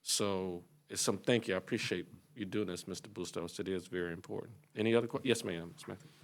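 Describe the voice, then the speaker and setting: Low-ish guy running a committee hearing, casual and polite. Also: fairly even intonation, brisk. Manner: informal, thinking aloud, courteous. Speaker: committee chair. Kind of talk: chairing a legislative hearing. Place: legislative committee room.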